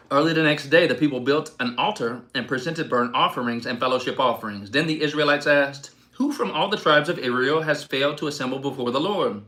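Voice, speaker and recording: A man reading scripture aloud in an expressive, declamatory way, giving dramatic weight to quoted speech. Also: fairly high pitch. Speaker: reader.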